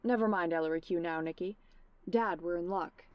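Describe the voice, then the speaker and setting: A woman playing a confident young detective in an old-time radio drama, polite, assured and deliberate. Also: lowish voice, highs cut off, close to an everyday voice. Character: confident, courteous young amateur detective Ellery. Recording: noisy amateur radio play recording.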